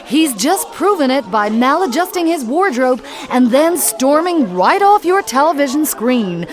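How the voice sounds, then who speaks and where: A woman's voice: sits high, very animated, unhurried. An actress playing a sensational TV news anchorwoman in a radio satire, gushing and theatrically scandalised in mock news commentary. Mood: scandalised, dramatic.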